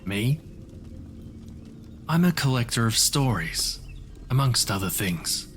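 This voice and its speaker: A man playing a courteous, self-assured magical wanderer who collects stories, speaking in a measured, formal way in an audio drama. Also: mid-range voice, sing-song, fairly bright tone, a little hiss or hum.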